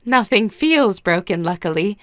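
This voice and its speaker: A woman's voice: medium pitch, lively intonation, normal speed, no room sound, lightly acted. A woman playing a caring young female warrior in an audio drama, scolding out of concern. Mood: worried scolding.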